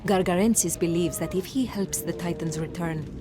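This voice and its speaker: A woman plays a commanding goddess recounting ancient wars in a measured, solemn way. Her voice sits low and even, with orchestral music underneath. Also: close to an everyday voice. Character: wise goddess, calm and commanding mentor. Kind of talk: game cutscene narration.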